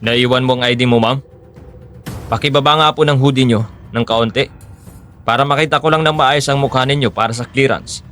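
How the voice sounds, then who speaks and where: A man playing a stern, methodical police officer in an audio drama, speaking formally and with measured authority. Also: medium pitch, fairly even intonation, a bit bright, faint music behind, natural voice. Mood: suspicious, firm. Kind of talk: audio drama acting in Tagalog.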